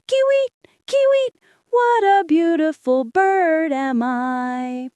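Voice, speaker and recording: A woman's voice: very high voice, lively intonation, takes it slowly, a little mellow, no echo, pitched above the performer's own voice, exaggerated voice. A woman voicing a magical singing bird, chanting its song in a sing-song way. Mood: haunting chant. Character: magical singing bird, boy's spirit, eerie.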